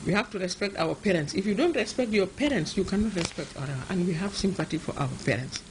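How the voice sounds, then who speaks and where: A woman from Gambia, a director, speaking earnestly and urging people on in a measured interview answer. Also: mid-range voice, expressive, noisy background. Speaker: women's affairs director.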